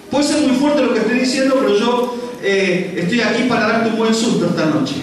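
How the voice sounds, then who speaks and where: A man preaching a fiery sermon, firing rhetorical questions and repeating lines for emphasis. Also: very high-pitched. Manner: rhetorical questions, shouting and repetition. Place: large church hall with congregation. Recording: echoey hall with distant mic and crowd.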